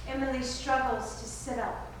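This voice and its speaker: An actress playing a vain, emotional stage star who declaims melodramatically in broad theatrical comedy. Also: female voice, high-pitched, fairly even intonation, normal speed, lightly acted. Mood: tragic, languid. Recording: distant, very echoey, noisy stage recording.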